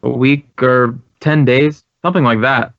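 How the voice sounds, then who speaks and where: An American actor playing an earnest, courteous and talkative director of a drama school in an old radio drama. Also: male voice, medium pitch, steady tone, measured pace, no echo, close to an everyday voice. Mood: puzzled, chatty. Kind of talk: 1950s radio acting. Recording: dull, dry old radio recording.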